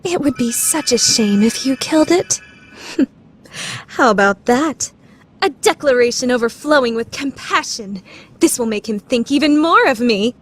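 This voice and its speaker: A woman's voice: on the high side, lively intonation, normal speed, faint music behind, close to an everyday voice. A woman playing a proud, scheming teenage student council vice president in an anime radio play, formal and theatrical. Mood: scheming, composed. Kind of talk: anime fan dub radio play acting.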